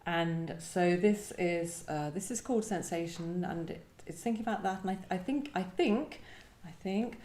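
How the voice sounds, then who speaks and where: A woman's voice: fairly low pitch, normal speed, hardly any pauses, a little mellow. A woman poet speaking at a live event as she introduces and reads her work, thoughtful and a little tentative. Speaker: guest poet. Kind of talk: live poetry event, introducing and reading poems. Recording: echoey room.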